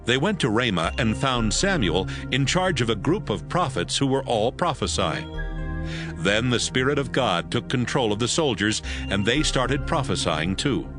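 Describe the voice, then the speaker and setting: A man's voice: medium pitch, sing-song, normal speed, over music. A man narrating a dramatized scripture audiobook.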